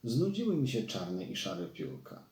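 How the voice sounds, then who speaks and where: A man voicing a vain, dreamy female crow from a storybook, with drawn-out, gushing speech. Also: on the low side, sing-song, darkish tone. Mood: dreamy longing. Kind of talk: storybook character voice. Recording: roomy echo.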